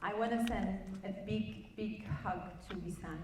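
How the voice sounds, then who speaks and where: A woman's voice: medium pitch, measured pace, darkish tone. A woman journalist speaking earnestly and emphatically on a conference panel. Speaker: news media journalist. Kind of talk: panel discussion. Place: conference hall. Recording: roomy hall, mic far off, faint noise.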